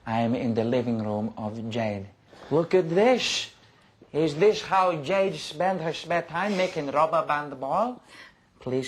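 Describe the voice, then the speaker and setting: Deadpan, earnest British man. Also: mid-range voice, a little hiss or hum. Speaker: comedy superfan character in a sketch. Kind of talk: deadpan comic sketch.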